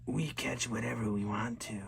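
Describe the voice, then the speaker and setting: A man voicing menacing creatures that live under the sea ice, in a slow, breathy whisper. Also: mid-range voice, steady tone, slight background noise, lightly acted. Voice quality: breathy whisper, like snow blowing over ice. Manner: slow, drawn-out, sing-song when chanting.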